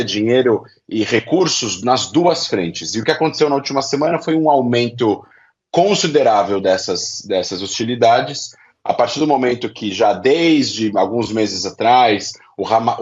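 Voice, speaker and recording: A man's voice: lowish voice, lo-fi sound. A man with a Brazilian accent giving didactic analyst commentary, laying out his points step by step. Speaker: political analyst, interview guest. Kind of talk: explanatory commentary.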